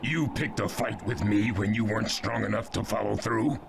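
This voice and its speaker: A man's voice: lowish voice, normal speed, creaky, background noise. A man voicing a stern, scornful, powerful ninja village leader in a game cutscene, speaking harshly and commandingly. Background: game music.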